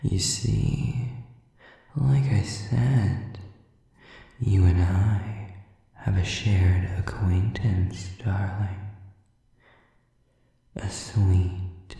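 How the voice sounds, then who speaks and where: A sadistic demon for an audio roleplay, mocking and seductive in a predatory way. The delivery is extremely slow and deliberate, slipping between whispers and a very dark, gravelly murmur. The voice rings in a heavily echoing space. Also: male voice, deep voice, recorded from a distance, exaggerated voice. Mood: silky menace, then mocking, cold. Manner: slow, deliberate, intimate, often dropping to a whisper. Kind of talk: audio roleplay addressed to the listener.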